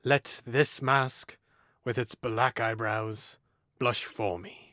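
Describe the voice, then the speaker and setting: An actor playing a witty, mocking young nobleman in an audio drama, playful and theatrical, with hushed and loud moments. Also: mid-range voice, fairly even intonation, slow, dry recording, studio quality.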